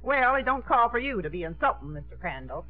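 An actress playing a prickly, plain-spoken, folksy small-town housekeeper in a 1950s radio drama. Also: female voice, a little high, big pitch swings, a little fast, loud music behind the voice. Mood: grudging, indignant. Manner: folksy, ungrammatical. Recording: muffled, narrow-band 1950s radio broadcast.

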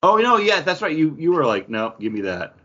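A man's voice: fairly high pitch, sing-song, a little fast, a bit bright. A man hosting a podcast in a casual, conversational style. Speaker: podcast host. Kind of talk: casual chat, ranking foods. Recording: dull, muffled audio.

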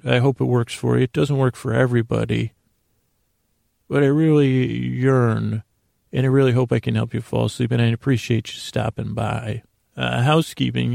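A man with a mid-range voice full of heavy vocal fry speaks in a soothing bedtime-podcast monologue. He wanders and stammers, restarting phrases and interrupting himself. The sound is dry, with no room around it. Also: medium pitch, a little mellow, no echo. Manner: halting, meandering, with repeated stammered words and self-interruptions. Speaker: host of a bedtime-story podcast.

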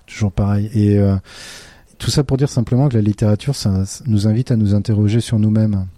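A man's voice: on the low side, steady tone, brisk, dark tone, no room sound. A middle-aged man, a teacher from France, talking in a thoughtful, hesitant way with many fillers and restarts. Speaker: teacher, podcast guest. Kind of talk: casual interview talk.

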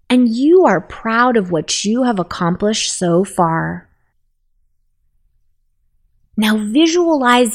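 A woman leading a guided meditation in a soft, soothing, unhurried voice. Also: medium pitch.

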